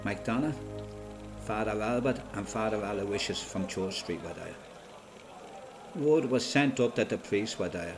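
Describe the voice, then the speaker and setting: An actor playing an Irish freedom fighter veteran, giving matter-of-fact first-person testimony in an Irish accent for a radio play. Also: male voice, fairly low pitch, a little slow, long pauses, over music. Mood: matter-of-fact, reminiscing. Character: plain-spoken Irish volunteer veteran recounting his fighting days.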